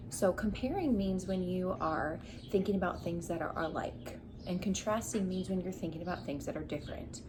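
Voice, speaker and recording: Young woman teacher, noisy home recording. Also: fairly low pitch. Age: young adult. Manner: explanatory, prompting. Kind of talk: read-aloud lesson for children, asking questions. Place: living room at home, on a couch. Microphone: camera a short distance away. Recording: clearly noisy home room sound.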